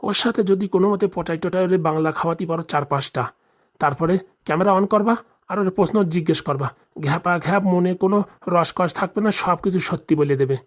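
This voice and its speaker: A man's voice: high-pitched, fast, lightly acted. A man voicing an indignant, scheming middle-aged villager in a comedy cartoon, grumbling and swearing in rustic colloquial speech. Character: indignant, scheming forty-year-old village man, jilted husband.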